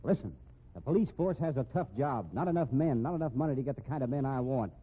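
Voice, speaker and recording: An actor with an American accent playing a gruff, irritable middle-aged police captain who grumbles bluntly and sardonically in a 1950s radio drama. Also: male voice, medium pitch, animated, fast talker, narrow, tinny audio. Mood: weary, sardonic. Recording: thin, noisy 1950s radio recording.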